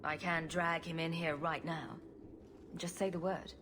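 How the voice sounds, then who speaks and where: A woman's voice: low voice, flat delivery, unhurried, background noise. A woman playing a sarcastic, teasing and confident rogue adventurer in a video game cutscene, glib in her delivery. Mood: wry.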